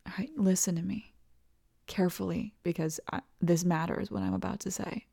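A woman voicing a tender, devoted young girlfriend in an ASMR roleplay, soft and reassuring and often slipping into whispers. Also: on the low side, steady tone, normal speed, vocal fry, husky, exaggerated voice.

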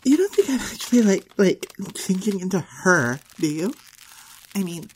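A woman's voice: medium pitch, expressive, normal speed, a little mellow, a little hiss or hum, natural voice, rough recording. A young woman playing a vain, catty, ditzy blonde popular girl in an audio roleplay, with a drawling valley-girl manner full of filler words. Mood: panicked. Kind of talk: first-person audio roleplay monologue.

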